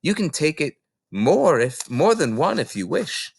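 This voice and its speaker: A man as a gentle, kindly woman librarian. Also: on the high side, big pitch swings, a little slow, creaky, slightly rough, no room sound, voice pushed up, full character voice. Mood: helpful. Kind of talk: children's storybook reading.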